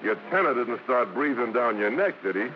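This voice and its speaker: An actor playing a brash but bashful American song-and-dance man in 1950s radio drama, blustering and halting, full of slang. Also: mid-range voice, sing-song, background noise. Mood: surprised, needling. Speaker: actor and singer. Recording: muffled old radio broadcast with music.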